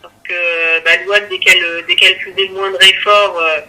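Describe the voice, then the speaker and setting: High-voiced man, halting, on the telephone. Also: flat delivery. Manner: hesitant, halting explanation. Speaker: interview guest, parent. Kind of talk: radio interview. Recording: bright telephone call on radio.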